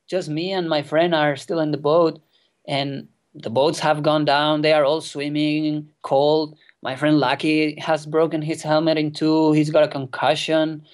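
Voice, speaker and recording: A young man in his twenties, a non-native English speaker whose first language is Catalan, telling a wild kayaking story as a guest, excited and swearing freely. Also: fairly high pitch. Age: 20s. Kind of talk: casual storytelling interview. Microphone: remote call.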